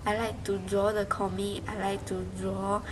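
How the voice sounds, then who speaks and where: A young Malaysian woman, a university student, giving a halting spoken reflection with many restarts and fillers. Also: mid-range voice, flat delivery. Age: young adult. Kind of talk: spoken class reflection in a second language. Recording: noisy background hiss.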